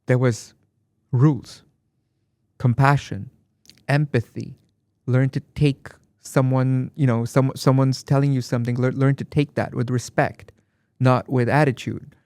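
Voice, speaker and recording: Reflective man with a warm voice. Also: mid-range voice, steady tone, unhurried, no echo. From Dubai. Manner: reflective, emphatic, repeats phrases. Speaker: guest artist. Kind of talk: conversational interview.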